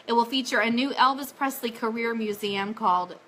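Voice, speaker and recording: A woman with a high, bright voice hosts a casual live fan show. She is chatty and enthusiastic, switching easily into reading announcements. There is some noise behind her on the stream. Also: a little fast. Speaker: host of an Elvis fan radio show.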